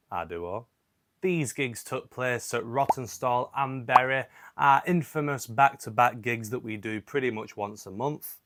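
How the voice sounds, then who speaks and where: Wry man, slightly croaky. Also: on the low side, fairly bright tone, dry recording, clean studio sound. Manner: wry, self-deprecating. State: slightly croaky from a sore throat. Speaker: gigging singer vlogging. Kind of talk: casual vlog narration.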